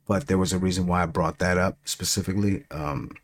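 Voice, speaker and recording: Middle-aged man, very deep voice, stutter and lisp, loose profane podcast chat. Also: fairly even intonation, a little fast. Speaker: podcast co-host. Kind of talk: casual chat.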